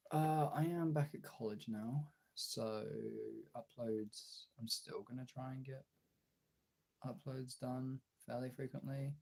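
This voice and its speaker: A young man with a husky voice chatting casually and informally as a livestreamer, replying to his viewers as he goes. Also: medium pitch, fairly even intonation, takes it slowly, long pauses, dark tone, low-quality recording. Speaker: streamer and video creator.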